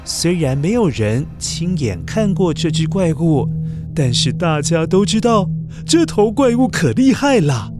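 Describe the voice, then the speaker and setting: Animated, high-pitched male children's storyteller, Taiwanese Mandarin. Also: a little slow, music in the background. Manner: dramatic, addresses young listeners with questions. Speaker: children's story podcast narrator. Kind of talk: expressive scripted storytelling for children.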